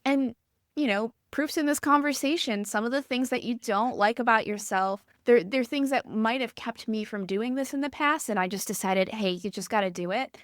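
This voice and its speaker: A woman from the Midwest with a Midwestern accent, hosting a podcast interview in a gentle, reflective way with a sweet, calming voice. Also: fairly high pitch, normal speed, no echo, studio quality. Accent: Midwestern American. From American Midwest. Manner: gentle, reflective, asks thoughtful questions. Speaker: podcast host.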